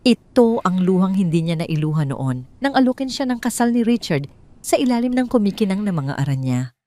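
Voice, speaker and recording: A woman's voice: mid-range voice, big pitch swings, brisk, mellow. A woman with a Filipino accent narrating a scripted dramatic story.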